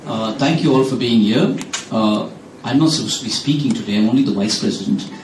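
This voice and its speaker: A man's voice: mid-range voice, brisk, a little mellow, slight background noise. A man with an Indian accent introducing speakers at a student event, teasing and joking. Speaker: vice president, introducing speakers. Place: lecture hall. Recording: echoey hall, distant mic.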